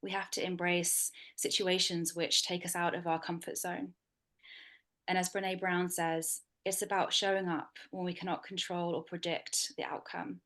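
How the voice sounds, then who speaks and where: A woman hosting and moderating an online panel over a video call, speaking in a soft, measured way with frequent ums. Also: fairly low pitch, flat delivery.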